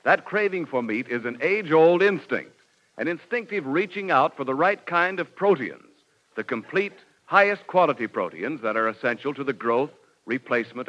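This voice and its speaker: A man's voice: mid-range voice, a bit bright. A man with an American accent announcing and reading sponsor copy in 1940s radio style. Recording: muffled 1940s radio recording with music.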